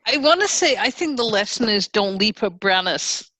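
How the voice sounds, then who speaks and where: A woman in casual chat, speaking in a halting but emphatic way and repeating herself. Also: mid-range voice, animated, sharp tone, no echo, rough recording.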